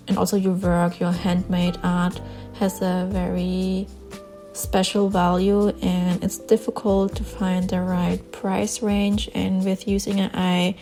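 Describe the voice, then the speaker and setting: Woman, German accent, German native, earnest casual vlog talk, mellow tone, mid pitch. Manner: earnest, plain-spoken, with small word searches and repeats. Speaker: artist vlogger. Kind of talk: casual talk over a drawing session.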